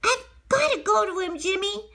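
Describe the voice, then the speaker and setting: A very high, bright little puppet boy, acted out by a woman. He is earnest and whiny, pleading in a childlike way, as in a children's storybook. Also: expressive, normal speed, exaggerated voice. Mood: determined, earnest.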